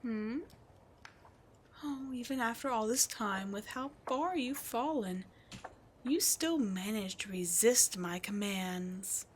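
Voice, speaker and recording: Woman acting a slow, haughty demon queen. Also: fairly high pitch, a little hiss or hum. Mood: calm, controlling. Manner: slow, deliberate, haughty, often breathy and whispered. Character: immortal demon queen, imperious, seductive, cruel female villain. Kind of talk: ASMR roleplay villain monologue.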